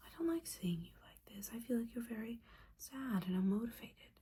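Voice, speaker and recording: A woman playing a disappointed, judgmental but caring witch mentor in a whispered, softly spoken ASMR roleplay, scolding with sassy, casual asides. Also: fairly high pitch, lively intonation, fairly quick, breathy edge. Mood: concerned, caring.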